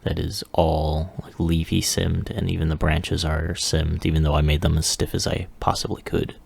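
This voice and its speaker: A man giving a casual, unscripted software walkthrough in a halting, offhand way with mumbled asides. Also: extremely low pitch, flat delivery, creaky, husky. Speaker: 3D animation hobbyist presenting a demo.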